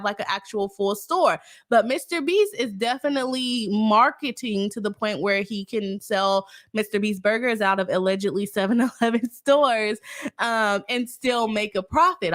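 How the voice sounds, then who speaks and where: A woman's voice: medium pitch, a bit bright. A woman attorney hosting a casual live stream, explaining a legal case plainly and reading chat aloud, sounding stuffy from a cold. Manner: chatty, explains legal terms plainly, reads chat aloud, laughs often.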